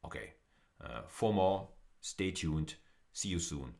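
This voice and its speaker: Male university lecturer, low-pitched voice, deliberate explanatory delivery over slides, restating key phrases. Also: animated, vocal fry, a little mellow. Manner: deliberate, repeats and rephrases phrases for emphasis.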